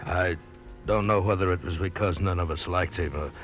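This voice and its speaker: A man's voice: deep voice, moderate pace, dull audio, lightly acted. A man narrating and acting in an old radio drama, in a grim, weary first-person manner. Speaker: narrator and lead character. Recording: old radio recording with music beneath.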